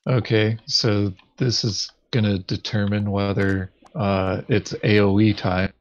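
A man's voice: fairly low pitch, level tone, slow pace, highs cut off. A man chatting casually as he thinks through his moves in an online tabletop game. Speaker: board game player.

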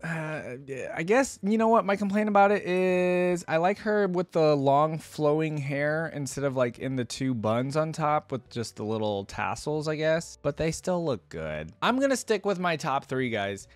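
Young man, lively casual reaction commentary. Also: fairly high pitch, normal speed, few pauses, no echo, faint music behind. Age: young adult. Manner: chatty, casual, slangy. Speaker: reaction video host. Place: indoor streaming setup. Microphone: close desk microphone with headset on.

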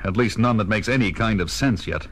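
An actor with an American accent playing a stern, resolute crime-fighting detective in a formal, measured way for a 1970s children's audio drama. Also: male voice, a bit bright, close to an everyday voice. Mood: grave, intent. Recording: noisy old vinyl record, background rumble.

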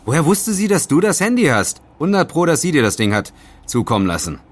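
An actor playing an argumentative young student friend, colloquial and insistent, in a German audio drama. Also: male voice, a little high, big pitch swings, fairly quick. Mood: accusing.

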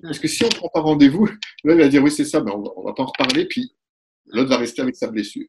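A man in his forties, a couples therapist, explaining ideas in a lively webinar talk and acting out short exchanges, recorded through a webcam at home. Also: medium pitch, noisy background. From Italy. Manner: animated, gestures a lot, acts out quick exchanges. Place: room at home. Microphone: webcam on a video call.

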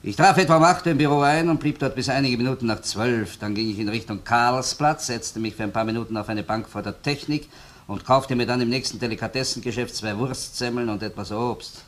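An actor playing a gruff, sardonic Viennese police investigator in a colloquial crime radio play. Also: male voice, mid-range voice, fairly quick, a bit bright, lightly acted. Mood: matter-of-fact. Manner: colloquial, sardonic, keeps tagging sentences with "ne?". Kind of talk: German-language crime radio play acting.

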